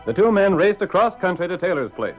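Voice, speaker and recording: An actor as the dramatic narrator of a western radio serial, reading scripted narration. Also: male voice, high-pitched, sing-song, fast. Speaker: announcer narrator. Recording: dull vintage radio with music.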